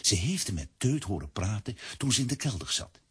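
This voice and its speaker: A man's voice: lowish voice, sing-song, brisk, fairly bright tone, breathy edge. A man narrating a children's audio play as a scripted storyteller.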